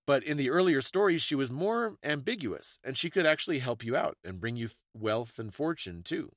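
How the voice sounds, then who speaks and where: A man's voice: medium pitch, expressive, a little fast, a bit bright, no room sound, clean studio sound. A man co-hosting a podcast, casually discussing folklore and stories in a thoughtful, explanatory way. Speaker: podcast co-host.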